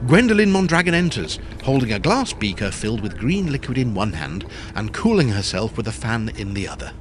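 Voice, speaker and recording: Low-voiced, animated male narrator. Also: a little fast. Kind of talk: scripted scene-setting narration for audio drama.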